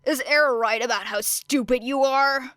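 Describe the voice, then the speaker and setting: A woman voicing a sardonic teenage boy who mocks and gives flat commands. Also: sits high, moderate pace, pitched above the performer's own voice. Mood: mocking.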